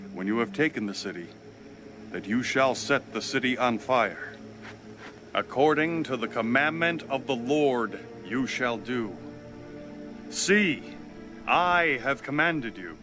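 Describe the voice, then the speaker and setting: An actor playing a commanding ancient Israelite military leader in a dramatized audio Bible, solemn and declamatory. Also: male voice, mid-range voice, lively intonation, measured pace, music in the background, close to an everyday voice.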